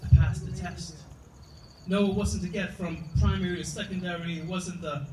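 A man's voice: high-pitched, fairly even intonation, moderate pace. A man giving a spoken word poetry reading with a rhythmic, rhyming delivery. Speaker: poet. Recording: echoey room from far off, loud noise.

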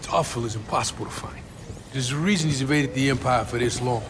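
An actor playing a middle-aged rebel leader in a video game, terse, fierce and authoritative. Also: male voice, mid-range voice, quick pace, noisy background, lightly acted. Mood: wary, challenging. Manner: terse, authoritative, declamatory when rallying. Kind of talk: cinematic video game acting.

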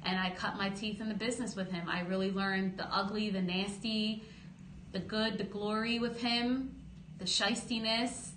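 Woman in her thirties, bright voice, lively storyteller, studio mic, roomy room, faint hum. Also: mid-range voice, moderate pace. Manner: lively storytelling, gestures while talking, acts out quotes. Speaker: boxing promoter, guest. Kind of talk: animated podcast interview. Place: small studio office, indoors. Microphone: studio boom mic close up.

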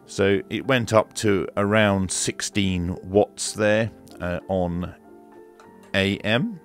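A man's voice: deep voice, slow pace, faint music behind. A man giving a casual hands-on product demonstration, explaining step by step in a matter-of-fact, hesitant manner. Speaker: radio reviewer and demonstrator. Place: indoor room at a desk.

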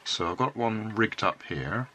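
An older man with a cold, calmly explaining a homemade circuit step by step at his hobby workbench. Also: on the low side, unhurried, a little hiss or hum. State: has a cold. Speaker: electronics hobbyist. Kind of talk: casual workbench demonstration.

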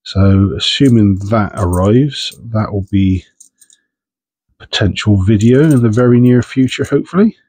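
Congested man, husky low voice. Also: moderate pace, a little mellow, no room sound. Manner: chatty, understated, self-deprecating. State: congested with a cold, sniffing. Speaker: coin-hunting channel host. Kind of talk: casual vlog commentary while sorting coins.

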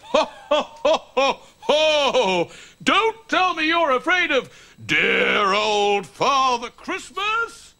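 A man playing a jolly old Father Christmas for a children's show, with hearty, drawn-out theatrical calls. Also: very high voice, sing-song, gravelly, sharp tone, full character voice. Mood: jolly, calling out. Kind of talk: children's television pantomime-style acting.

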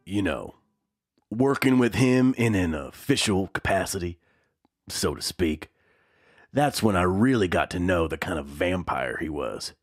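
A wry male veteran musician recalling the old days for a documentary. His voice is low and lively, with a slow, folksy delivery. It is closely recorded and dry. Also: no room sound, soft background music, heavily acted. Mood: nostalgic, admiring. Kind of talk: documentary interview reminiscence.

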